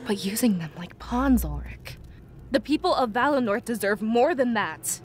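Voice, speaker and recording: A woman voicing a level-headed, caring young fox-like adventurer in a video game, earnest and measured. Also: fairly high pitch, lively intonation, soft background music, lightly acted. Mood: reproachful.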